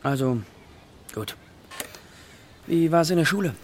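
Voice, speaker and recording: A man's voice: fairly high pitch, expressive, slow pace. An actor playing a dreamy, slightly eccentric composer father who is easily distracted, in a children's radio play. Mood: distracted, exasperated. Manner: absorbed, easily distracted, exclaiming. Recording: loud birdsong and clicking noise.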